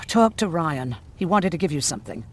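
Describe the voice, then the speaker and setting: An actor playing a blunt, sardonic, weary survivor of a machine war in a video game. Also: male voice, fairly high pitch, big pitch swings, normal speed, slight background noise. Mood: irritated.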